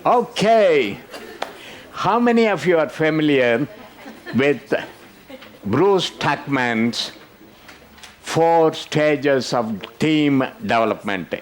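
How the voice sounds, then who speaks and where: A man's voice: fairly high pitch, expressive, very slow, pauses often. An older man leading a conference workshop, talking to the audience and teasing them with jokes. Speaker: workshop presenter. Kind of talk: interactive conference workshop talk.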